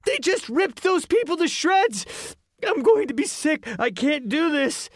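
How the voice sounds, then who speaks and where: A man voicing a panicky twelve-year-old boy wizard with a squeaky, whiny voice in an audiobook. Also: sing-song, dry recording, clean studio sound, exaggerated voice. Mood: panicked. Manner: rapid, whiny pleading.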